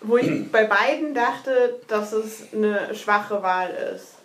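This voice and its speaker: A woman's voice: mid-range voice, takes it slowly, far from the mic. A woman recapping a TV episode in casual chat, analytical and arguing her points at length. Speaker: podcast co-host. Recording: echoey room, a little off mic, faint noise.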